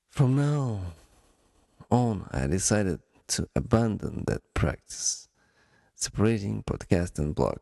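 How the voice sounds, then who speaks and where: A man with a Ukrainian accent hosting a solo podcast, speaking in a deliberate, halting way with pauses. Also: sits low, creaky, warm tone, dry recording. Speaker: podcast host.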